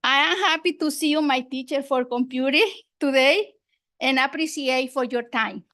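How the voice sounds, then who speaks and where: A woman from El Salvador with a Salvadoran Spanish accent, a Spanish speaker who reads a prepared public comment at a school board meeting in careful, halting English. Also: sits high, bright, forceful tone.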